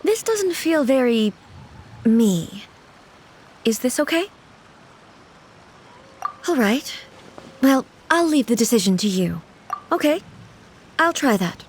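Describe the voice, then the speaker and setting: A woman's voice: a little high, big pitch swings, a little hiss or hum, poor audio. A woman playing a dignified, earnest ruler in a video game, formal and naive about everyday things. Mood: self-conscious. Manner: formal, measured, puzzled, deliberate.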